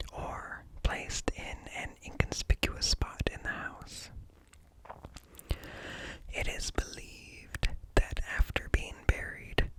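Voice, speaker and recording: A man whispering an ASMR reading in a hushed, deliberate way, very close to the microphone. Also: poor audio. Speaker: ASMR host reading articles. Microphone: close up, ear-to-ear stereo.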